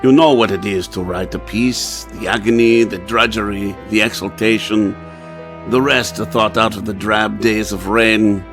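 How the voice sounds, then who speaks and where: An actor playing a haunted, rapturous composer, delivering a poetic, theatrical radio play monologue. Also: male voice, lowish voice, fairly even intonation, normal speed, a bit bright, soft background music. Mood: wistful, rapturous. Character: haunted, rapturous male composer narrating his torment. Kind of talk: dramatic first-person radio play monologue.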